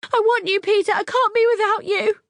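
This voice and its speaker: A woman voicing a distraught young woman who pleads and sobs in a shrill, high voice. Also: moderate pace, dry recording. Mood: desperate pleading. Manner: shrill, high, sobbing pleas.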